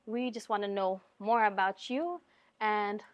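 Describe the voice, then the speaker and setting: A woman from Fiji with a Fijian English accent, hosting a casual interview with a band and asking questions with soft fillers. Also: mid-range voice, measured pace, highs cut off. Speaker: podcast host.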